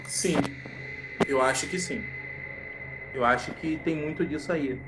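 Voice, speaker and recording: A man's voice: a little high, a little slow, loud music behind the voice, poor audio. A man with a Brazilian Portuguese accent co-hosting a casual live-stream chat, joking and rambling in a slangy way. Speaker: podcast co-host.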